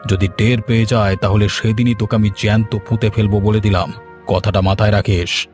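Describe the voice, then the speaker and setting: A man's voice: on the low side, level tone, fairly quick, a little mellow, soft background music, natural voice. Man playing a bossy, short-tempered village master. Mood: threatening. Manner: scolding, imperious. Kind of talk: cartoon voice acting.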